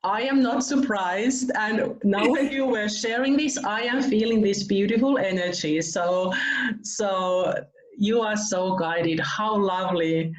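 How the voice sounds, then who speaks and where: A woman from Finland hosting an online interview, gushing with praise and laughing softly as she talks. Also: mid-range voice, a little slow. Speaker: interview host. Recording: roomy, dull video call audio.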